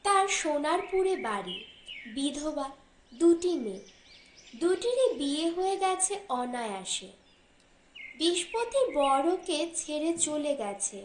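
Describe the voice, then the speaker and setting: A woman reads a short story as an audiobook narrator, in a measured, deliberate way with pauses between phrases. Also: high-pitched, very animated, echoey room, a little hiss or hum.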